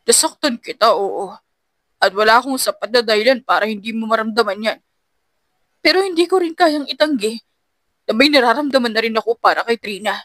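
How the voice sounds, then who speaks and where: A woman's voice: on the high side, expressive, brisk, a bit bright, no echo. A woman voicing a guilty, defensive young man pleading with his ex in an audio drama. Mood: guilty, subdued.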